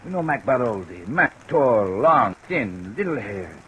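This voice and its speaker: An actor playing an excitable, effusive French workingman with a French accent and broken English in an old radio drama. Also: medium pitch, unhurried. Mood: dramatic, dismayed. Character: excitable, expansive French-Canadian or French working man. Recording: old radio recording with faint hiss.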